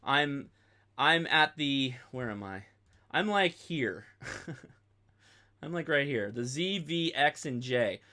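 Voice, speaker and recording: A man's voice: medium pitch, expressive, slow, pauses often, bright, forceful tone. A man in his thirties hosting a casual livestream tutorial, informal and rambling, often thinking aloud. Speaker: host of a ham radio channel.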